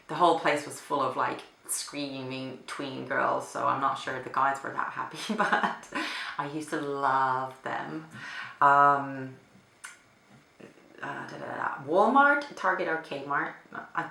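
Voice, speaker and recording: A young woman from Finland, answering questions in a relaxed, chatty way at home, with lots of fillers and small laughs. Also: very deep voice, vocal fry. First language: Finnish. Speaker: vlogger. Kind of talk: casual chat answering a question tag. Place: apartment room. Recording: echoey home room.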